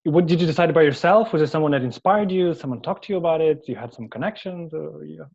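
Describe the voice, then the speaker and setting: A man hosts a relaxed interview, encouraging his guest and often laughing. He asks several questions in one breath. The sound is dry and a little dull, with no room echo. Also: fairly high pitch, fast, vocal fry, slightly rough. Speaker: interviewer host. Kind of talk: casual interview conversation.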